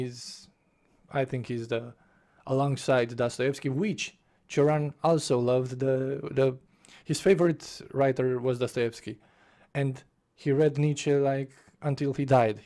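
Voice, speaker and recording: Young man, unhurried, dark warm tone, home bedroom desk-mic book vlog. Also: medium pitch, fairly even intonation. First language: Romanian. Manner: passionate, gestures with both hands, restarts phrases. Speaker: book reviewer. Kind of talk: casual spoken book review. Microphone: desk microphone in front of face, webcam framing.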